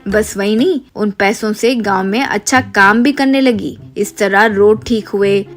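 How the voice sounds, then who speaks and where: A woman reading scripted narration for an animated moral story. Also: medium pitch, unhurried. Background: light music.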